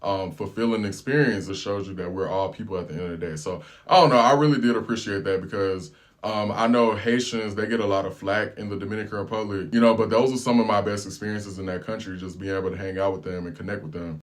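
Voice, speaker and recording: An American man vlogging, telling a relaxed, slangy travel story in casual chat. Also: lowish voice, quick pace. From United States. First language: English.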